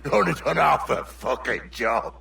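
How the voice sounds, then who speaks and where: An actor playing a vicious, mocking, unhinged pirate captain with a gravelly voice in a dramatic video game cutscene. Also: male voice, medium pitch, sing-song, normal speed, sharp tone, exaggerated voice. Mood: sneering, taunting.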